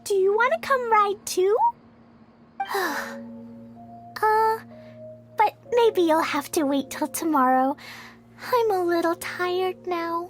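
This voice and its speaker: A woman voicing an innocent, energetic little girl mage in a video game, with an eager, childlike way of talking. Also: extremely high pitch, takes it slowly, pauses often, soft background music. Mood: reluctant, tired. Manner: childlike, eager, simple phrasing. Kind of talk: video game cutscene acting.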